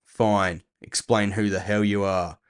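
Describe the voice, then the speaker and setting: Man playing a low, gruff, impatient bounty hunter. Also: level tone, measured pace, lightly acted. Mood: irritated. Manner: terse, sardonic, commanding.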